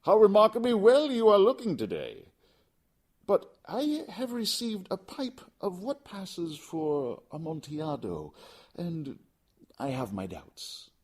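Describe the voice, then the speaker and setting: A man's voice: sits high, wildly swooping pitch, slow, darkish tone, voice pushed up, heavily acted. A man voicing a vengeful nobleman in a gothic storybook, theatrical and falsely solicitous as he coaxes a friend. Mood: effusive flattery. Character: vengeful nobleman feigning warm friendship, sly and coaxing.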